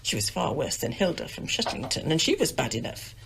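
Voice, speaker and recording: A woman's voice: low-pitched, huge pitch swings, a little fast, gravelly, a little hiss or hum, heavily acted, rough recording. An actress playing a domineering, self-pitying older English village mother in a radio drama, haughty and querulous. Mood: self-pitying, reproachful. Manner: querulous, haughty, melodramatically aggrieved.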